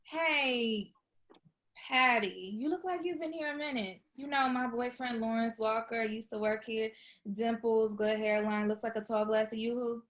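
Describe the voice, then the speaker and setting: Lady reading awkward playful young woman, slangy n wry, slightly high voice, script read. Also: fairly even intonation, normal speed, close to an everyday voice. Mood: chatty, hopeful. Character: Issa, awkward, playful, broke young woman with sarcastic streak. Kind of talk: screenplay table read.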